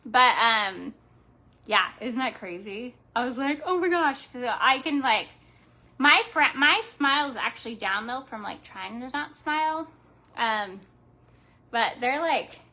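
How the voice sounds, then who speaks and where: A woman's voice: fairly high pitch, lively intonation, slow pace, long pauses, pushed and bright. A young woman chatting casually to viewers while she refinishes furniture at home, talking as she works and often trailing off mid-thought. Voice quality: slight lisp. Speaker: craft vlogger. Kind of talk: casual live craft chat.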